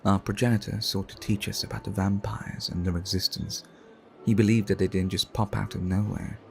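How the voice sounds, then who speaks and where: A man playing a suave, refined vampire art collector in an ASMR roleplay, speaking in a formal, courteous, flirtatious and measured way. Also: lowish voice, little pitch movement, vocal fry, a little hiss or hum. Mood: refined, confiding.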